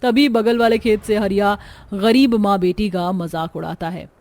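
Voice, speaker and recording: A woman's voice: medium pitch, steady tone, unhurried, darkish tone, dry recording. A woman narrating a scripted cartoon story as the storyteller.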